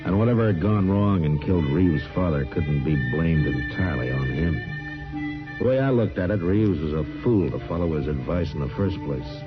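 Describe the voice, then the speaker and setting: An actor playing a stern, plain-spoken frontier marshal in an old-time radio western, terse and authoritative. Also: male voice, low-pitched, expressive, moderate pace. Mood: dry, narrating. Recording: muffled vintage radio recording, music behind.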